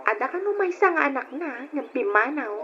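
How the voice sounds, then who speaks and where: High-pitched sweet respectful young daughter type, radio drama, noisy muffled recording. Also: female voice, animated, normal speed, fairly bright tone. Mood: earnest. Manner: deferential, earnest. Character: polite, grateful young working woman, devoted daughter. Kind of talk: Ilocano radio drama acting.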